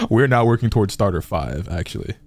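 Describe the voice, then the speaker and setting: A man's voice: mid-range voice, wildly swooping pitch, measured pace, faint music behind. A man streaming and chatting casually, laid-back and rambling, full of "like" and repeated words. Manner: laid-back, swears freely. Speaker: gaming streamer.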